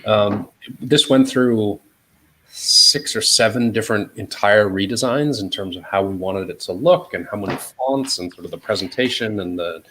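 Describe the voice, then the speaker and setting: A man's voice: on the low side, moderate pace, bright, forceful tone, a little hiss or hum, low-quality recording. A man with an American accent talking informally as an interview guest, hedging often as he explains his work as an editor. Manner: informal, hedging with "sort of" and "you know". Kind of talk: casual interview talk.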